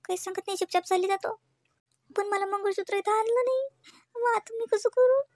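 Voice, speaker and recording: A woman's voice: very high voice, a little fast, a little mellow, no room sound, clean studio sound. A woman with a Vidarbha Marathi accent voicing a nervous, fretful young wife in a comedy cartoon, hesitant and muttering. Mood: anxious muttering. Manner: flustered, hesitant, muttering asides.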